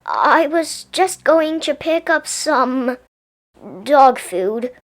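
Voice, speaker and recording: A woman's voice: high-pitched, extremely slow, pitched above the performer's own voice. A woman playing a polite, worried young girl in a children's storybook, earnest and a little hesitant. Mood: nervous. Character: worried, polite young girl trick-or-treating with her dog.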